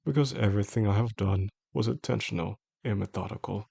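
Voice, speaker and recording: A man playing a possessive, soft-spoken boss in an intimate audio drama, talking gently and with control straight to the listener in a low, gravelly voice. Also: dry recording, full character voice. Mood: calm, menacing. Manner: slow, soothing, gentle, controlled. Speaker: voice actor.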